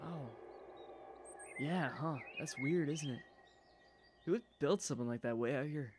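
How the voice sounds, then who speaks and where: Teenage boy played by a man, lightly high pitch, easygoing, curious, teasing, casual audio drama delivery. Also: unhurried, noisy background, lightly acted. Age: teens or young adult. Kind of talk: naturalistic audio drama acting.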